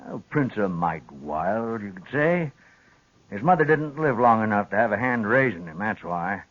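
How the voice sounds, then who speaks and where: An American actor playing a stubborn, plain-spoken old rancher with a rural western accent in a 1950s radio western. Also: male voice, lowish voice, very animated, moderate pace, fairly bright tone. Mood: defensive. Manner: plain-spoken, folksy, defiant. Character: stubborn, blunt old rancher, protective father. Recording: dull vintage radio recording.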